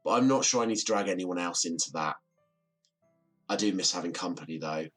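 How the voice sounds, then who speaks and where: Reserved man with a low, husky voice. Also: brisk, fairly bright tone, soft background music. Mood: downbeat, wistful. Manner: diffident, wistful. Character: lonely, reserved bachelor neighbour, self-deprecating, hard-working. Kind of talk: audio drama acting.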